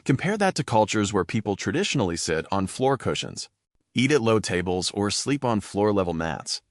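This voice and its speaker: Male podcast host, low and lively, dry close sound, scripted teaching read that defines key terms. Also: moderate pace, no echo. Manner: explains and defines vocabulary words plainly. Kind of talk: scripted educational podcast narration.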